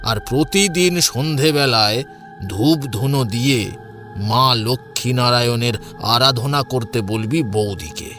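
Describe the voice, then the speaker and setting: Casting: confident middle-aged priest and old friend acted by a man, medium-pitched, radio-play style. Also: unhurried, sharp tone, faint music behind. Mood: assured, solemn advice. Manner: confident, teasing, instructive. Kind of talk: audio drama acting.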